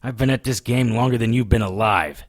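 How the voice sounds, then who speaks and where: Man playing a gruff, hard-bitten private investigator. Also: medium pitch, fairly quick, close to an everyday voice. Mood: curt, bitter. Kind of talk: audio drama acting with first-person narration.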